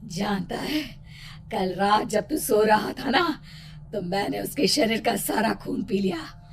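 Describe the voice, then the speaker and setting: A woman voicing a gloating evil spirit in a cartoon horror story, taunting and threatening with drawn-out delivery. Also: mid-range voice, lively intonation, gravelly, a little hiss or hum. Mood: gloating menace. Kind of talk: animated horror story dialogue.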